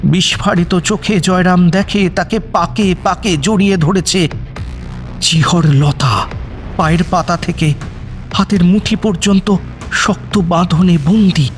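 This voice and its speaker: A man narrating a horror audio story in a suspenseful, theatrical style with deliberate pauses. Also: high-pitched. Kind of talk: dramatic scripted horror narration. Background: background music.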